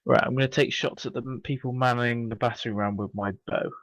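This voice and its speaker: A man with a gravelly voice, a player in a casual tabletop game asking about the scene. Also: mid-range voice, steady tone, quick pace, no room sound.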